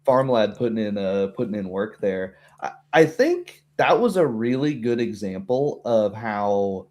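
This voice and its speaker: A man's voice: fairly low pitch, sing-song, unhurried, darkish tone. A man working as a game master, chatting casually on a stream and explaining his rulings in a rambling way.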